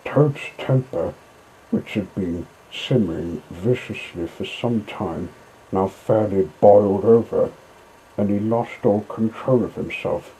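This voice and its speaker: A man's voice: on the low side, slow, dark tone, a little hiss or hum, low-quality recording. A man reading a classic children's story aloud as a scripted narration.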